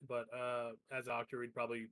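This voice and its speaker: A man with a mid-pitched voice takes part in a tabletop game as a player. He talks casually, hesitant and questioning. The sound is dry and close. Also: monotone, fast, a bit bright, no room sound.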